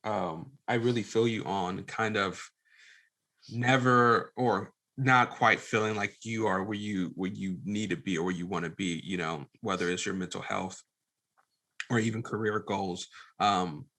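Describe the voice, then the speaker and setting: Bright, forceful-voiced man in conversation. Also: fairly low pitch, unhurried. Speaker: podcast guest, producer and director. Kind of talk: casual chat.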